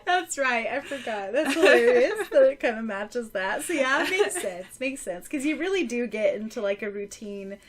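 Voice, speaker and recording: A woman's voice: fairly high pitch, animated, moderate pace, hardly any pauses. A woman co-hosting a podcast, chatting informally and agreeably about a story with enthusiasm. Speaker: podcast co-host and audiobook narrator. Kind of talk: casual chat about a story.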